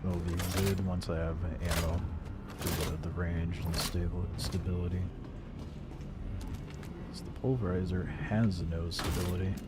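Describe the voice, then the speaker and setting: A man chatting casually and informally, with some mild swearing, as he livestreams a game, with loud music behind him. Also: sits low, level tone, measured pace, long pauses, heavy background noise. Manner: informal, mildly profane. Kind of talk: casual gaming livestream chat.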